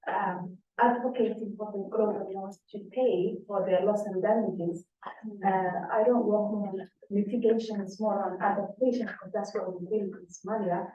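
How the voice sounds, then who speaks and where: A woman's voice: medium pitch, a little hiss or hum. A woman on a conference panel answering in a plain, matter-of-fact way. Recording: echoey room, distant dull mic.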